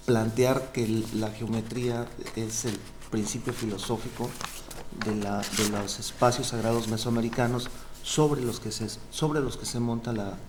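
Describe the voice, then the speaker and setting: A man's voice: lowish voice, darkish tone, a little hiss or hum, low-quality recording. A man, a doctoral candidate earnestly explaining his research with frequent fillers. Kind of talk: defending a thesis.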